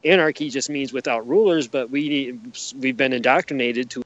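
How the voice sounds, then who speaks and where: A man's voice: medium pitch, moderate pace, a bit bright. A man from the United States talking casually as a podcast guest over a lo-fi connection. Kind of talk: casual interview talk.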